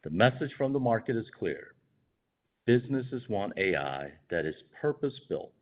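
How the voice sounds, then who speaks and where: Deep-voiced man reading formal corporate remarks. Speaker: company executive. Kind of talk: scripted earnings call remarks. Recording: dull, band-limited conference call audio.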